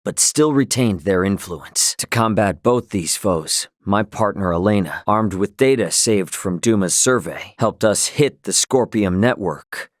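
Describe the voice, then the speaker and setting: An actor playing a brash, easygoing young male starship captain in a video game, with a cocky, informal and expressive delivery. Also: fairly low pitch, a little slow, hardly any pauses, dry recording, studio quality. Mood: sober, reflective. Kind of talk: video game character dialogue.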